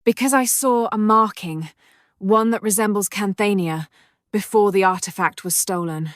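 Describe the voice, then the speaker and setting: A woman's voice: mid-range voice, normal speed, a bit bright, no echo, clean studio sound, close to an everyday voice. A woman playing a guarded English adventurer. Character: determined English woman adventurer searching for her late father's artifact. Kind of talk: amateur animated voice acting.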